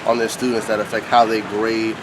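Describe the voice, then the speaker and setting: A man's voice: lowish voice, flat delivery, brisk, background noise. A young man, a college freshman, explaining his views plainly in an interview. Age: young adult. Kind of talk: interview answers.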